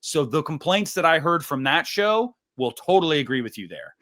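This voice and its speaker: A man's voice: a little high, sing-song, normal speed, a bit bright. A man on a podcast panel giving blunt, emphatic opinions in casual debate, acting out imagined quotes as he argues.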